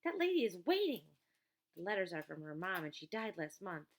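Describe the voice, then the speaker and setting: A woman's voice: on the low side, very animated, normal speed. Woman reading as an earnest, polite boy. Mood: worried. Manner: polite, plain-spoken, earnest. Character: polite, earnest young boy, easily flustered. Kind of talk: children's audiobook character voice.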